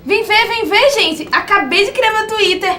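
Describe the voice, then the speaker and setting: Eager know-it-all tech girl; very high, bright, echoey room. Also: normal speed, a little hiss or hum. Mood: excited. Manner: eager, explains things to friends. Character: chatty tech-loving girl, cheerful and knowing.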